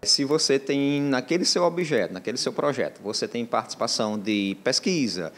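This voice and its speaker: A man speaks in an office interview, explaining at a measured pitch. He fills gaps with hesitations and restarts his sentences. A handheld reporter mic picks him up closely. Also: expressive. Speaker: university communications head. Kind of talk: interview soundbite. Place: indoor office.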